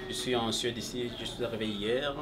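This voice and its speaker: A man from France giving a hesitant testimony, with many pauses and restarts. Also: mid-range voice, little pitch movement, music in the background. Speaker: visiting church member giving testimony. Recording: distant mic, crowd noise.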